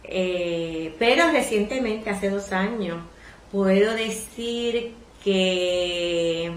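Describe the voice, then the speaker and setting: Puerto rican lady in her 60s, calm and reflective, telling her story, echoey room. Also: medium pitch, extremely slow. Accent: Puerto Rican Spanish. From Puerto Rico. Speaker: interviewee, retired librarian. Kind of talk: oral history interview.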